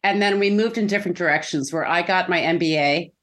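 A woman's voice: lowish voice, quick pace. A middle-aged woman co-hosting a podcast in a casual, conversational way. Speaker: podcast co-host.

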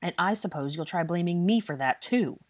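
A woman's voice: fairly low pitch, animated, fairly quick, darkish tone, no room sound, clean studio sound. A woman voicing an indignant, demanding young man with a defiant temper in an audiobook story. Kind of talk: audiobook character dialogue.

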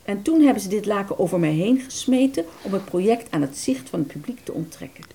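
A woman's voice: medium pitch, lively intonation, creaky, mellow, breathy edge. Woman voicing a theatrical, wounded abandoned idea. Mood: rueful. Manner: theatrical, dramatic storytelling with slow emphases. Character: bitter aborted idea, a vanished thought under a sheet. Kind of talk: Dutch radio play monologue.

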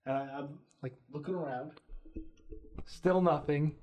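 A man's voice: a little high, a little slow, dull audio. A man co-hosting a casual comedy podcast, telling a story and acting out the voices of the people in it. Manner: animated storyteller acting out both sides of dialogue.

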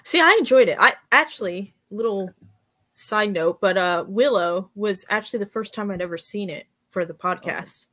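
A woman's voice: medium pitch, normal speed, bright, forceful tone. A woman guesting on a podcast, chatting casually with an enthusiastic, easy-laughing fan manner.

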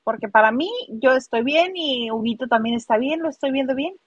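A woman's voice: high voice, a little fast, husky, no echo, lo-fi sound. A woman with a Mexican accent co-hosting a casual celebrity gossip live stream, playful and teasing as she talks and reads out viewer comments.

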